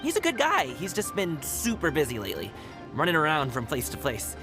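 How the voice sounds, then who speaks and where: A man's voice: a little high, huge pitch swings, brisk. An actor playing a cheerful, generous young male guard in a video game, who laughs often and talks in an easygoing way. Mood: friendly. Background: game music.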